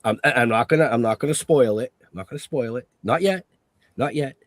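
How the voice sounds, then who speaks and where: A man's voice: medium pitch, a little fast, no echo. A man hosting a casual online talk show, opening with hype and laughing often between lines. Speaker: podcast host. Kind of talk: casual chat.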